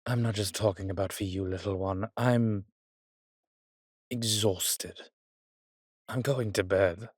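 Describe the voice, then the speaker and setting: A man's voice: on the low side, steady tone, slow, long pauses, slightly rough, dry recording, clean studio sound. A man playing a suave, weary vampire with courtly, fond phrasing in an intimate roleplay audio drama. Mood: weary, tender. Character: weary, suave male vampire, protective and darkly charming.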